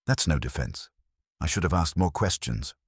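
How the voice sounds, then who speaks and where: Male reader as earnest woman in a mystery audiobook, guilt-laden, deep register, dry studio-like sound. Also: expressive, moderate pace, breathy edge, dry recording, exaggerated voice. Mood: remorseful. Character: woman client confessing, earnest and guilt-burdened.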